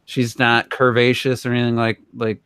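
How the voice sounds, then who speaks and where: Male co-host, mid-pitched smooth voice, conversational and informal film chat. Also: monotone, normal speed, a bit bright, dry recording, studio quality. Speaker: podcast co-host. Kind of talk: casual film banter.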